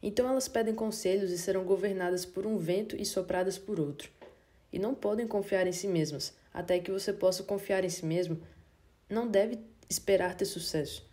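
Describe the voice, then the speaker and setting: A woman narrating a nonfiction audiobook in a steady, measured reading voice. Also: medium pitch.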